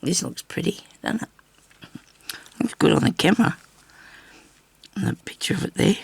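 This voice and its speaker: Older woman, raspy and hoarse, lively sing-song delivery, chatty craft host. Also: on the low side, normal speed, no echo. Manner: chatty, rambling asides while stitching. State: hoarse with a bad cough. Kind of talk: casual sewing tutorial chat. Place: home craft table. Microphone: overhead camera on her hands.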